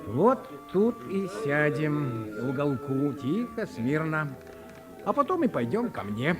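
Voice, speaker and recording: A man's voice: fairly high pitch, big pitch swings, slow pace, over music. An actor playing a fawning, timid minor clerk in a 1950s Russian radio play, fussy and coaxing with his companions. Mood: hungry, chatty. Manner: fussy, coaxing, hushed when cowed. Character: fawning, timid male minor clerk hosting hungry colleagues.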